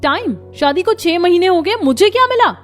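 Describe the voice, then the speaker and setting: A woman acts out a greedy modern daughter-in-law in a cartoon family drama. Her voice is high, her manner bossy and contemptuous. Soft music plays underneath. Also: expressive, normal speed. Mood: fed up. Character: Riya, haughty, greedy, domineering modern young daughter-in-law. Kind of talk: Hindi cartoon story dub.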